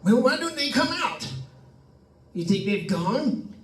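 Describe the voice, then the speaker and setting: A man's voice: extremely high pitch, sing-song, takes it slowly, heavily acted. A man playing a nervous, penny-pinching property owner with a hesitant, stammering manner in an old-time radio suspense drama. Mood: anxious, agitated. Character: nervous, tight-fisted, excitable male property owner. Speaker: radio play performer. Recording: roomy, distant microphone, faint noise.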